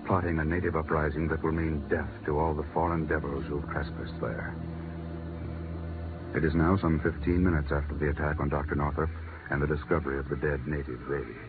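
A male 1950s radio announcer narrates dramatically in an extremely low voice. Music plays clearly behind him, and the recording is thin and vintage. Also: narrow, tinny audio. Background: loud music.

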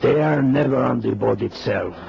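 An actor playing a weary, courteous border officer with a Mexican accent and formal, measured phrasing in an old radio drama. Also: male voice, medium pitch, creaky, darkish tone, dull audio. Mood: grave, wary. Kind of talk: 1970s radio drama acting.

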